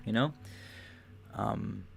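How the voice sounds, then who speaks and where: A young man hosting a livestream, rambling casually about spiritual ideas, restarting phrases, swearing loosely and getting animated on big points. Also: on the low side, drawn-out words, vocal fry, a little hiss or hum. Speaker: podcast host.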